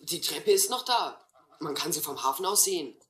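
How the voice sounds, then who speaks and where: A young man playing a cheeky, quick-witted vagabond boy who bluffs, in a German radio drama. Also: on the high side, expressive, fairly bright tone, reverberant. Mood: brazen bluffing.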